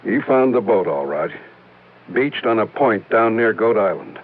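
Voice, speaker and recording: An American actor playing a worried, suspicious insurance office manager in a 1950s radio drama, speaking in an earnest, confiding way. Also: male voice, on the low side, animated, a little slow, phone-quality sound. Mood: concerned, businesslike. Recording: thin band-limited old radio broadcast.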